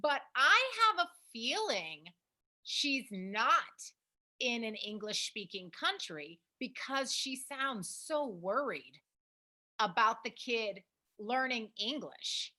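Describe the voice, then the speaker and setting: High, bright-voiced American woman, emphatic. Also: slow. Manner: animated, emphatic, repeats points. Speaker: host, language program founder. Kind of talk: casual advice discussion.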